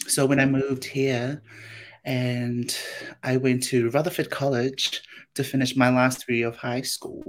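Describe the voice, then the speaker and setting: A woman's voice: rumbling low, flat delivery, measured pace, a little hiss or hum. A woman from Samoa, in her late twenties, chats as a guest on a podcast. She is bubbly and playful, laughs easily, and talks about her own life in an easygoing way. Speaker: actress and guest. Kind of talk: interview chat.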